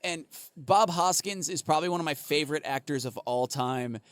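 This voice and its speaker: A man's voice: a little high, fairly quick, a bit bright, no echo. A man co-hosting a podcast in casual chat, rambling and swearing, laughing often and full of fillers. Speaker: podcast co-host.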